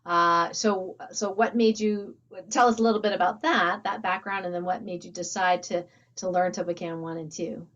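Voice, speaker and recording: A woman hosting an interview, speaking enthusiastically and filling pauses with uhs. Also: fairly low pitch, steady tone, fairly bright tone, highs cut off. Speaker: host, academy founder.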